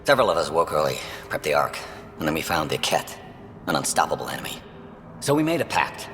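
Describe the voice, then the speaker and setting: Proud alien traitor, calculating, sharp and intense, swooping intonation, video game drama, long echoing reverb. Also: male voice, rumbling low, big pitch swings, fast talker, slight background noise, full character voice. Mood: urgent, persuasive.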